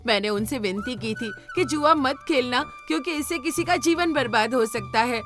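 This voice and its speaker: A woman's voice: high voice, wildly swooping pitch, moderate pace, fairly bright tone, soft background music, heavily acted. A woman voicing a sorrowful, loyal wife who runs an inn, in a moral story. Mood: hurt reproach. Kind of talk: dramatised Hindi moral story.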